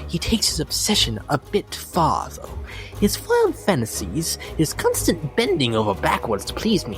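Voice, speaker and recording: A performer puts on a vain lady pony with prim, affected airs in a dramatic fan reading. The delivery is theatrical and genteel, swooping widely in pitch with an unhinged, lovesick edge. Instrumental music plays clearly behind the voice. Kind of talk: dramatic reading of a fan-made character blog.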